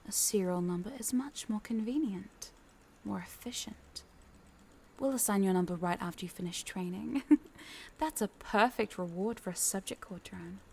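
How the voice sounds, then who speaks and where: A woman's voice: medium pitch, normal speed, creaky, dark tone, breathy edge. A woman playing a smug, possessive corporate boss in a scripted audio roleplay, teasing and condescending, sometimes dropping into a whisper.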